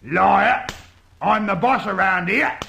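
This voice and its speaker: A menacing gang boss from an old radio detective serial. His voice is fairly high, hard and forceful. He blusters and bullies in rough underworld slang. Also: slow pace, lo-fi sound, heavily acted. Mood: angry, threatening. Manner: bullying, rough slangy talk. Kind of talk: old-time radio detective drama acting.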